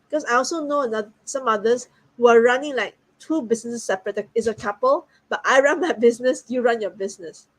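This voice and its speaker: Brief: woman, bright higher pitch, friendly and earnest guest. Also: husky, low-quality recording. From Singapore. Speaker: guest business partner. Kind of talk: casual online talk.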